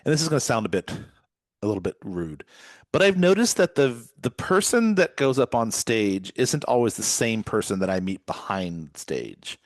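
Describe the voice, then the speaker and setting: A man's voice: fairly low pitch, sing-song, normal speed, no echo. A man from California hosting a podcast, asking long, curious questions with many restarts. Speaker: podcast host. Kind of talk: podcast interview conversation.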